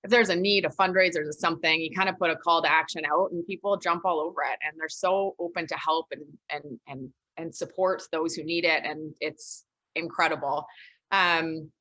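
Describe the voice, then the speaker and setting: Low, bright female voice, enthusiastic shop owner on a video call, dry audio. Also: steady tone, normal speed, no echo, low-quality recording. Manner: enthusiastic, chatty, laughing. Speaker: small business owner. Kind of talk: casual panel talk.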